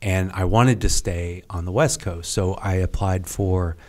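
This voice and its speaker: A middle-aged man, a guest on a podcast, telling a story in a halting, rambling way that trails off quietly. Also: sits low, fairly even intonation. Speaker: podcast guest, retired Navy serviceman. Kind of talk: casual interview storytelling.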